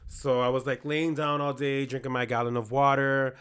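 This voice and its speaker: A hoarse man co-hosting a podcast, chatting candidly about his week and quoting himself in asides. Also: medium pitch, flat delivery, normal speed, a bit bright. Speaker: podcast co-host. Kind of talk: casual chat.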